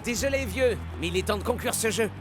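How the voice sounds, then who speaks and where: A man's voice: sits high, quick pace, over music, close to an everyday voice. A man voicing a confident, taunting star footballer in a cartoon. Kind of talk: animated sports series dub.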